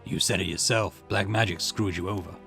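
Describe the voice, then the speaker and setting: A man's voice: sits low, fairly even intonation, brisk, creaky, bright, forceful tone, faint music behind, heavily acted. A man reading game dialogue aloud, voicing a blunt, scornful man who talks in curt, contemptuous jabs.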